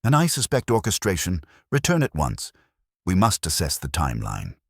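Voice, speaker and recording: A man voicing an aloof, precise older detective in clipped, unhurried dialogue. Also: sits low, huge pitch swings, vocal fry, fairly bright tone, husky, dry recording, clean studio sound, voice pushed up, full character voice. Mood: commanding. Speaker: armchair detective. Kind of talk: audiobook dialogue.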